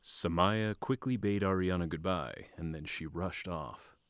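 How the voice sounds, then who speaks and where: A male narrator with a deep voice reads scripted fantasy drama narration. The recording is close and very dry. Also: unhurried, a bit bright, clear, resonant tone, no room sound.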